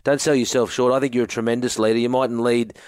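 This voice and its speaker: A man's voice: medium pitch, little pitch movement, quick pace, a little mellow, smooth, dry recording, clean studio sound. A man co-hosting a sports podcast, matey and encouraging, calling people mate. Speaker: podcast co-host. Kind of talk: casual interviewing.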